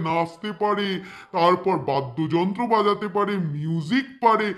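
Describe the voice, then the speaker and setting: A man's voice: sits high, fairly bright tone. A man voicing an awestruck, sentimental ghost son-in-law in a cartoon, speaking in a wistful, admiring way. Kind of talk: animated cartoon dialogue.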